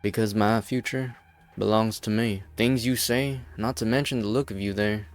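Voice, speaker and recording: An actor playing a calm, hard-bitten, defiant man with folksy phrasing in an amateur game fan dub. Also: fairly low pitch, normal speed, dry recording, soft background music, natural voice. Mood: cold, defiant.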